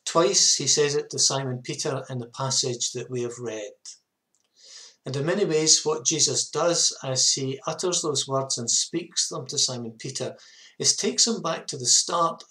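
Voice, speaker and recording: A man in his fifties, a minister giving a measured, earnest reflective sermon to camera in a small study. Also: medium pitch, pushed and bright. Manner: measured, earnest, with short repeated phrases. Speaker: parish minister. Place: small home study, indoors.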